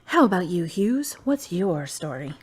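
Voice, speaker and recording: A woman's voice: fairly low pitch, big pitch swings, measured pace, a little mellow, husky, full character voice. A woman playing a teasing, frank lady. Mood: playful. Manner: teasing, direct. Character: playful, frank woman, once divorced. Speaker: radio drama actress. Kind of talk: amateur radio drama.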